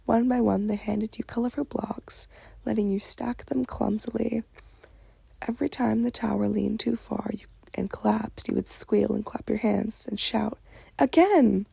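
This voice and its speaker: A woman softly narrating an ASMR story in a breathy, crackly whisper. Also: mid-range voice, flat delivery, normal speed, no echo.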